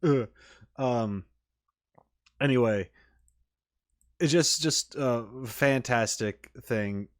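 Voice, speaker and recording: A man's voice: mid-range voice, extremely slow, pauses often. A man giving casual, unscripted reaction commentary as a video host, rambling informally with emphatic enthusiasm.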